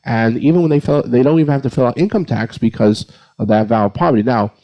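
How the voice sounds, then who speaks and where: A man's voice: medium pitch, quick pace, mellow, low-quality recording. A man speaking as a priest on a panel, giving an informal, plain-spoken explanation point by point. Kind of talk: informal explanatory answer to a question.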